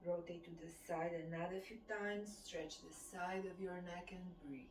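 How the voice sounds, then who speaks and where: A woman from Romania with a Romanian accent, a fitness instructor guiding a qigong class with calm cues timed to the breath. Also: lowish voice, moderate pace, slight background noise. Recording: roomy sound from a distant mic.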